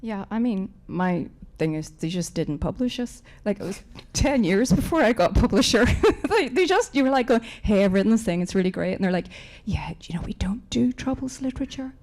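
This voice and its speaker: A woman's voice: mid-range voice, expressive, normal speed, few pauses, a little mellow. Wry, chatty Northern Irish woman. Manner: wry, chatty, self-mocking. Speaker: author on a panel. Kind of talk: casual, humorous live panel chat.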